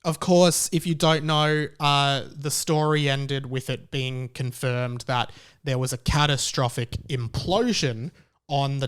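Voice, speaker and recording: A man hosting a podcast, giving casual opinion commentary in a conversational, rambling and emphatic way. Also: medium pitch. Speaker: podcast host.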